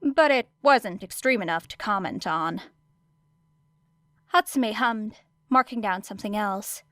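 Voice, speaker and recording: A woman's voice: medium pitch, a little slow, a bit bright, dry recording. A woman reading scripted fiction as an audiobook narrator.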